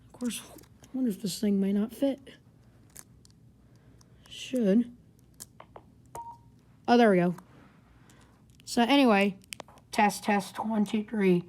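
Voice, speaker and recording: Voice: medium pitch, slow, long pauses, darkish tone. A person giving a casual, rambling hands-on gadget review in an informal way. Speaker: product reviewer. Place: carpeted home living room.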